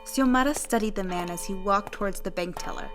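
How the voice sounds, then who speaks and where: A woman's voice: medium pitch, fairly quick, music in the background, lightly acted. A woman playing a devoted songstress who narrates her love story in a scripted western radio play. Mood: storytelling.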